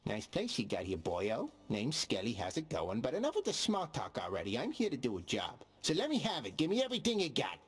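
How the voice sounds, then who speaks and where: A man's voice: mid-range voice, wildly swooping pitch, brisk, few pauses, breathy edge. A man voicing a brash, cheeky skeleton sparring dummy in a video game, with wise-guy patter. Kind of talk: video game character acting.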